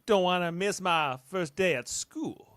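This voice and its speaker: A man's voice: high voice, measured pace, fairly bright tone, clean tone, dry recording. A man playing a curious, self-assured starship captain in an amateur radio drama. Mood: probing, wry. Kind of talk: amateur radio play acting.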